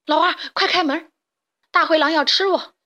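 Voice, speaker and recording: Woman doing a cocky big brother piglet, high and loud, storybook. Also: lively intonation, moderate pace. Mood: panicked. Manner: boastful, then panicked. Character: eldest piglet, boastful and proud.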